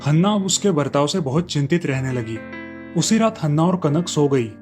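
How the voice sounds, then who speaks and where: Guy narrating a cartoon moral tale, kinda high voice, soft music behind. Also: lively intonation, normal speed. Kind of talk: scripted storybook narration.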